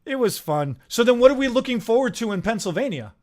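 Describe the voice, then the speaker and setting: A man's voice: extremely high pitch, very animated, quick pace, a little mellow. A man co-hosting a casual chat, with a playful, teasing manner. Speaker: co-host.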